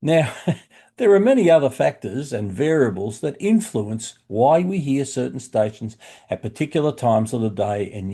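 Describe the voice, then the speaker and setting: Australian male presenter, soft mellow tone, mid pitch, measured and friendly explainer narration. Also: slightly rough. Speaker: shortwave radio hobbyist presenter. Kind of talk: explanatory narration for a hobby video.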